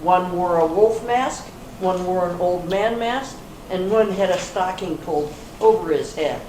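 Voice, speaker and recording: A woman's voice: fairly low pitch, measured pace, mellow, lightly acted. Woman acting a formal police interrogator, echoey room. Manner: formal, clipped, procedural. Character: brisk, skeptical female police interrogator. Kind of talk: amateur readers theater reading. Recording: echoey hall, distant mic, faint noise.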